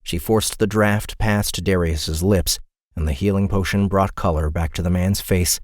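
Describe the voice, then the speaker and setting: A man's voice: sits low, steady tone, a little slow, dry recording, clean studio sound. A man reading dramatic scripted fantasy narration as an audiobook narrator.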